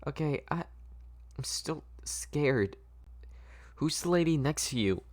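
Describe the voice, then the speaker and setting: A man's voice: fairly high pitch, lively intonation, takes it slowly, pitched above the performer's own voice. A man voicing a frightened, anxious young woman, hesitant and stammering in a dramatic script reading. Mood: frightened, panicked. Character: frightened, anxious young woman patient being turned into doll.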